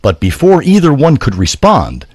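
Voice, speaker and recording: A man's voice: on the high side, huge pitch swings, moderate pace, slightly rough, no echo, studio quality. A man narrating a scripted audio drama as a theatrical, wordy storyteller.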